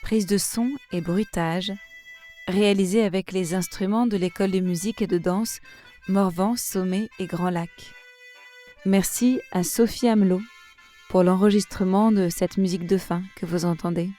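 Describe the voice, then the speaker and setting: A woman reads closing credits from a script. Her tone is mellow and of medium pitch. Accordion music plays behind her. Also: fairly even intonation, normal speed.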